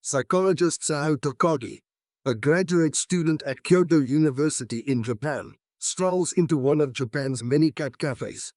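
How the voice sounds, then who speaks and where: A man's voice: a little high, normal speed, a little mellow, no echo, studio quality. A man reading scripted practice passages aloud for an exam lesson.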